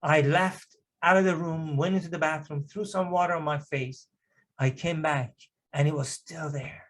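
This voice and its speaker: A man's voice: fairly high pitch, steady tone, moderate pace, a bit bright. A middle-aged man from Iran, a native Persian speaker, giving an earnest personal testimony at a church service, with emphatic swells as he tells his story.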